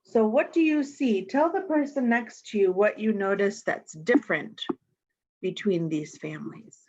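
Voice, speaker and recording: A woman's voice: medium pitch, a little slow, darkish tone, highs cut off, a little hiss or hum. A woman reading picture books aloud to young children, talking to them directly and asking simple questions. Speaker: storytime reader.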